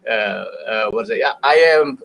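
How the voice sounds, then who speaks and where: A man's voice: lowish voice, lively intonation, normal speed, vocal fry, fairly bright tone. A middle-aged man with an Indonesian accent, English his second language, talking about business on a talk show, hesitant with many fillers and restarts. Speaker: business show guest expert.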